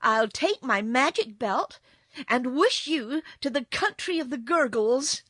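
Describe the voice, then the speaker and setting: Woman playing an earnest, high-voiced little girl. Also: big pitch swings, a little slow, a bit bright, no echo, exaggerated voice. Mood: provoked. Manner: anxious, pleading and scolding. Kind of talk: storybook character voice.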